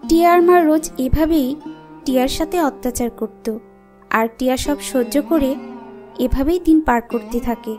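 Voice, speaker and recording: A woman's voice: high-pitched, normal speed, darkish tone, soft background music, close to an everyday voice. A woman reading scripted storybook narration for a fairy-tale cartoon.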